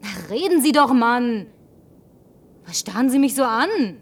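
An actress dubbing a brash, lonely young woman who survived the end of the world, teasing and sharp-tongued. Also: a little high, expressive, unhurried, distant mic. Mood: mocking. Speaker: Linda, survivor driving a car. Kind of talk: German film dub.

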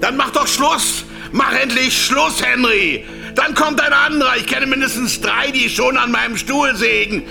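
An actor playing a frantic, defiant executive at gunpoint in a radio play, ranting in a strained shout. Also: male voice, extremely high pitch, normal speed, gravelly, heavily acted. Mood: frantic, enraged. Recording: music playing behind the voice.

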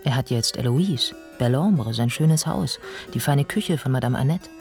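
A composed male storyteller narrates a radio drama with music clearly behind him. His medium-pitched voice is measured and observant, as if watching each detail. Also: animated, darkish tone. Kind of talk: scripted radio play narration.

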